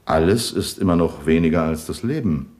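An actor playing a dry, probing police commissioner in a 1970s radio play. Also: male voice, low voice, expressive, normal speed, darkish tone. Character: shrewd, dry police commissioner, middle-aged man.

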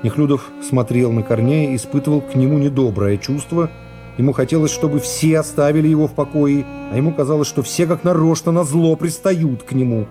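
A man narrating a classic novel for a radio serial in an expressive, dramatic way, with deliberate pauses. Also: fairly high pitch. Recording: music behind the voice. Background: music.

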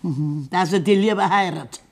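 A woman's voice: on the low side, normal speed, darkish tone, natural voice. Actress as scolding old Bavarian farm grandmother. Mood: scornful, indignant. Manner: accusing, disapproving. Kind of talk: German dialect radio drama acting.

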